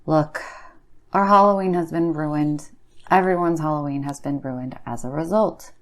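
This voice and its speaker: A woman's voice: sits low, unhurried. A woman playing a bored, pushy, petulant friend in a comic phone-call sketch, insistent and sarcastic.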